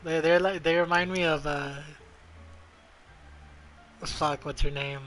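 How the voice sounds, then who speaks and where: A man's voice: fairly high pitch, steady tone, slow pace, a bit bright. A man doing casual gameplay commentary as a streamer, blunt, profane and mocking. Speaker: video game streamer. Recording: faint game music behind the voice.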